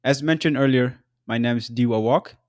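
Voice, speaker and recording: A young man from South Sudan hosts his own podcast, telling his story in a measured, earnest voice. His pitch sits in the middle range, and he drops in an occasional um or restart. The recording is dry and close, with almost no room sound. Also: no room sound. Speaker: podcast host. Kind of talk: scripted solo podcast narration.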